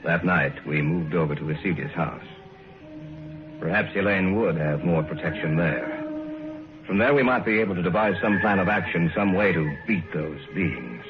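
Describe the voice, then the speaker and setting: Deep narrator of a vintage radio chiller, measured telling, tinny sound, music under. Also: male voice, lively intonation. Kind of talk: 1950s radio drama narration.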